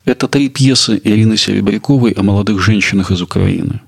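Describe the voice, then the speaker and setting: A man presenting a culture podcast in a narrating style, with a gravelly, husky voice. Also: fairly low pitch, quick pace. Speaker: podcast host.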